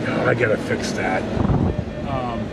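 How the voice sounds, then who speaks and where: A man's voice: mid-range voice, a little slow. A man telling a casual, rambling vlog story in a plain-spoken, unpolished way. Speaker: vlogger. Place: inside a moving car and outside on a car lot. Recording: noisy vehicle and outdoor background. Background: car and road noise.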